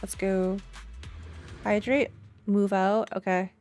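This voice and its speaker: A woman's voice: mid-range voice, flat delivery, slow pace, darkish tone. A woman streamer reacting to a game, reading dialogue aloud and tossing in flippant asides, faint music behind her.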